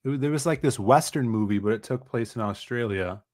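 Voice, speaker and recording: A man's voice: medium pitch, fairly quick, a little mellow. A man chatting casually on a podcast, telling a story in a laid-back way. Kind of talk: casual chat.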